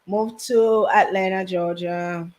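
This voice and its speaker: A woman from Haiti raised in New Jersey, a business owner telling her story softly as a guest on a video call. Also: medium pitch, fairly even intonation, slow pace, highs cut off. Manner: soft-spoken storytelling with laughs, quiet. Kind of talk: casual interview chat.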